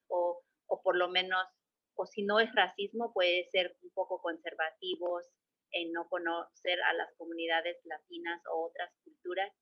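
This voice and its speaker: A woman's voice: on the low side, pushed and bright, low-quality recording. A middle-aged woman from the State of Mexico, a guest answering questions hesitantly, with many fillers and restarts. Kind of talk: conversational interview answers.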